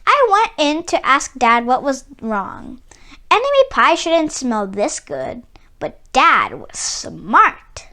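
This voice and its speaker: Female children's book reader, bright and lively, theatrical storytelling with emphatic key words. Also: sits high, slow pace. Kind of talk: expressive read-aloud storytelling.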